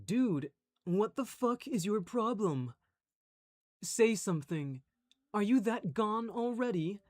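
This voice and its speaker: A man performs a commanding yandere bad boy in a dramatic audio roleplay. He is foul-mouthed, possessive and aggressive, drawing his words out slowly. Music plays behind him. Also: sits high, animated, darkish tone, no room sound, studio quality, voice pushed up. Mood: irritated.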